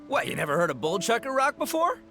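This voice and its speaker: A man voicing a brash, bombastic young gang boss and rock-obsessed goofball in a video game, excitable and slangy. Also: very high-pitched, fast, full character voice. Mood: boastful. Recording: game stream with music behind.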